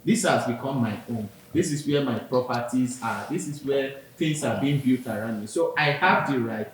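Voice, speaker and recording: Nigerian man in a very echoey room. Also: moderate pace. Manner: earnest, explanatory, builds points with personal examples. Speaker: podcast co-host. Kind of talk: casual discussion. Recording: very echoey room, faint rumble.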